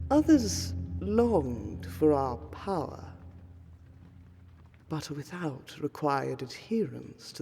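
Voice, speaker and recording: A woman playing an aristocratic vampire scholar in a fantasy audio roleplay, speaking formally and deliberately with weighty pauses. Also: a bass voice, vocal fry, full character voice. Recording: long hall-like reverb under prominent music.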